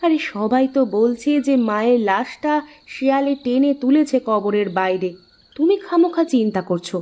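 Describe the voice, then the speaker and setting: A woman's voice: on the high side, expressive, normal speed, mellow, close to an everyday voice. A woman voicing a concerned, practical village wife in a cartoon, coaxing and chiding, on a dull recording. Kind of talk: cartoon dialogue.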